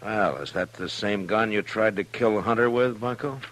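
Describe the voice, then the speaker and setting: A man playing a calm, tough western marshal in a 1950s radio drama, speaking in a laconic, authoritative way. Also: lowish voice, moderate pace, lightly acted. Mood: cool, firm. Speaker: radio actor. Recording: noisy, humming 1950s radio recording.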